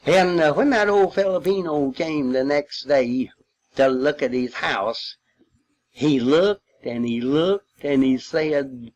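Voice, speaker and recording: A man's voice: on the high side, takes it slowly, darkish tone, lo-fi sound. An older man telling war memories informally, in a folksy, rambling way with long hesitations. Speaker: war veteran recounting memories. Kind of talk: informal spoken reminiscence.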